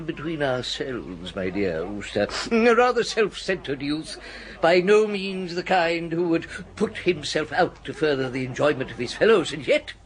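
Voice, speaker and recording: An elderly, long-winded English vicar in a reverberant hall. His high, upper-class voice rolls out formal phrases with ponderous, solemn dignity, in the manner of British radio comedy. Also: sing-song, a little slow. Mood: pleased, confiding.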